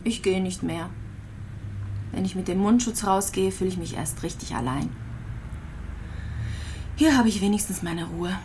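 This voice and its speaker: An actress playing a resigned, gravely ill young mother in a radio play, speaking in a listless, brooding way, full of self-reproach. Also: mid-range voice, expressive, normal speed, pauses often, darkish tone, a little hiss or hum, natural voice.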